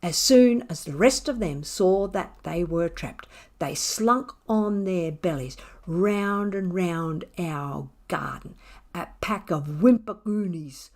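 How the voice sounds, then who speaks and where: A woman voicing a mischievous, sarcastic, self-satisfied male cat who tells his story theatrically, with dramatic pauses. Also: lowish voice, darkish tone. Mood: scornful.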